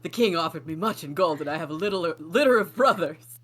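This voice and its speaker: Swashbuckling cat read by a man, theatrical, bright, fairly high. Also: lively intonation, a little fast. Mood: pleading. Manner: theatrical, declaiming. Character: swashbuckling male cat, bold and dramatic. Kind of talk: amateur table read.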